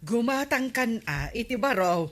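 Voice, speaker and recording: A woman's voice: fairly high pitch, expressive, unhurried. A woman playing a dryly scolding, matter-of-fact villager in an Ilocano comedy radio drama. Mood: chiding.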